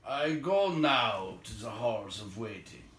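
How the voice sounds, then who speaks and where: A man's voice: lowish voice, very animated, takes it slowly, fairly bright tone, roomy sound, heavily acted. A man voicing a dying dwarf king from a storybook, grave, proud and repentant, speaking formally and solemnly. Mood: solemn farewell.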